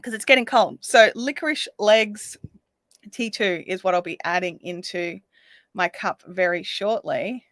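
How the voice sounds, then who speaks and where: A woman hosting a craft livestream, chatting casually and reading out viewer comments while she crochets, her voice slightly raspy. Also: mid-range voice, a little slow, fairly bright tone, dry recording. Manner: chatty, reads viewer comments aloud, counts stitches under her breath. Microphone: desk microphone.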